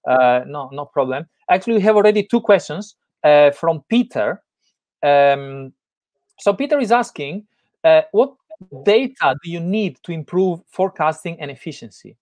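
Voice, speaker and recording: A man's voice: a little high, dry recording. A middle-aged man moderating a webinar Q&A over a video call, who often repeats his words. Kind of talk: hosting a webinar Q&A.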